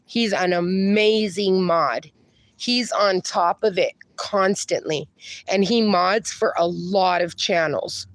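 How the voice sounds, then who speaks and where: Reassuring woman host of a casual livestream, mid-pitched, dry close mic, lots of chatty fillers. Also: slow pace, no echo. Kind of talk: casual livestream chat reading comments.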